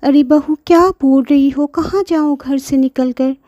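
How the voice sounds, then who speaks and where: A woman's voice: fairly even intonation, measured pace, mellow, dry recording, lo-fi sound. A woman voicing an elderly grandmother who pleads tearfully with her family. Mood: pleading. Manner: high, imploring, weepy.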